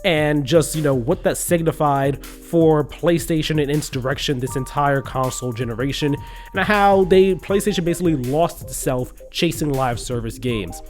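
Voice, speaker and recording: A man's voice: a little high, moderate pace, faint music behind. A young man with a congested, stuffy voice, earnestly venting about gaming news in a casual commentary video. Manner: earnest, restarts and fillers, indignant. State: congested from a cold.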